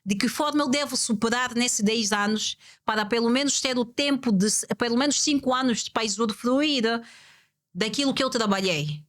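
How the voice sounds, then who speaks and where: A woman guest on a podcast conversation, speaking reflectively, repeating her key points and posing rhetorical questions in a measured way. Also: a little high, fairly bright tone.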